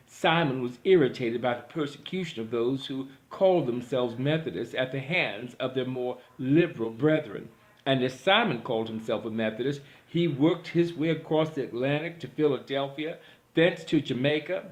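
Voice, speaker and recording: A man reading a literary story aloud as scripted narration, in the role of an audiobook reader. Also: mid-range voice.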